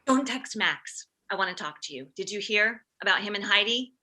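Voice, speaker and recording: An anxious suburban mom of a teenage boy, acted by a woman, fretful and prone to blurting. Her voice is very bright and forceful at a middle pitch, in a comedy table read. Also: lively intonation, normal speed. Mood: worried.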